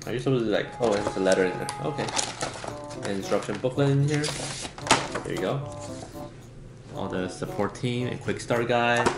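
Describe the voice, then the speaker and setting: A man's voice: mid-range voice, moderate pace, pauses often. A man in his thirties doing a casual, unscripted gadget unboxing as a vlogger. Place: small home dining room, indoors. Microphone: camera on the table or at arm's length. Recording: echoey room, music in the background.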